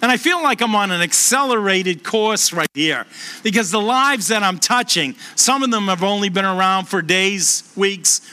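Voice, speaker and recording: A middle-aged man with a Boston accent, a pastor preaching a sermon and telling stories earnestly, slowing down for emphasis. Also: bright, forceful tone. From Boston, USA. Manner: earnest storytelling, slows and lowers voice for emphasis. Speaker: pastor and hospice chaplain.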